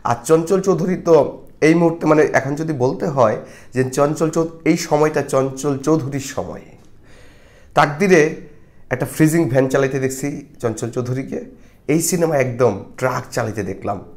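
A middle-aged man from Bangladesh giving a casual film review to camera on a lapel mic, reflective and moralizing, often pausing with filler sounds. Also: fairly high pitch, a little mellow. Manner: reflective, moralizing, frequent hesitations. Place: small indoor studio with patterned backdrop.

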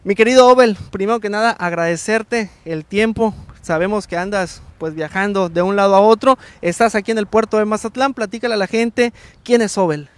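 A man's voice: high voice, a little fast, few pauses, dry recording. A man in his thirties hosting a street interview by the sea, joking and upbeat, speaking into a handheld microphone. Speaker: reporter and host. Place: seaside street outdoors in sunshine.

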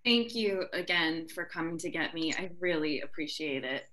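A woman's voice: deep voice, animated, normal speed, pushed and bright. A young actress playing a lonely, vulnerable woman estranged from her family, read over a video call. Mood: subdued, awkward. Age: young adult. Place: room at home, indoors. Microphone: laptop mic on video call.

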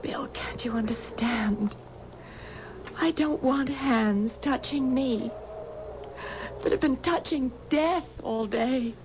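A young woman in a 1970s radio mystery, anxious and pleading. Her voice is high and drawn out, on a dull, noisy old broadcast recording. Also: takes it slowly, pauses often. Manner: emotional, pleading. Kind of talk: 1970s radio drama acting.

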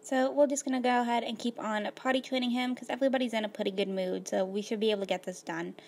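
A woman with a slightly hoarse voice, casually narrating her life-simulation gameplay at a computer, rambling with lots of fillers. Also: fairly high pitch, steady tone, quick pace, darkish tone. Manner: rambling, filler-heavy, laid-back. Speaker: gaming video host.

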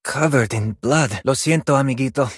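A man's voice: medium pitch, normal speed, slightly rough, no room sound, studio quality, lightly acted. A man voicing a vain, graceful Spanish male ballet dancer for a video game, theatrical and self-admiring, with a Spanish accent. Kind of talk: video game character voice lines.

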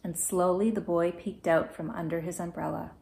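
Low-pitched woman reading a storybook. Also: steady tone, normal speed, creaky, a little mellow. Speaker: author reading own picture book. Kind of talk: children's storybook read-aloud. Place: small indoor room at home.

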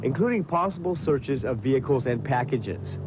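A man's voice: medium pitch, expressive, moderate pace. Young American male field reporter, noisy outdoors. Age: young adult. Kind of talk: scripted news stand-up report. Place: outdoors by a base gate. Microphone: handheld mic. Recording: outdoor street and vehicle noise. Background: clear outdoor traffic noise.

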